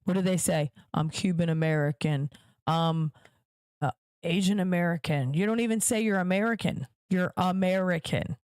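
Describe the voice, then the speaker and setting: A woman's voice: fairly low pitch, moderate pace, darkish tone, clear, resonant tone, dry recording, clean studio sound. A woman hosting her own podcast, giving emphatic political commentary straight to her viewers and repeating points for stress. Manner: direct address to viewers. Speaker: podcast host.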